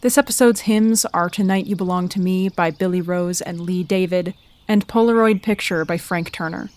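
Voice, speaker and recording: A woman's voice: lowish voice, normal speed, a little mellow. A woman announcer reading scripted credits for a fiction podcast.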